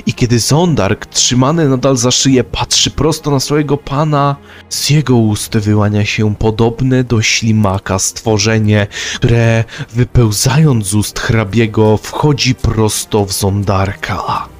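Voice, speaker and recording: A man's voice: medium pitch, fairly even intonation, measured pace. A man giving dramatic scripted narration of a manga story.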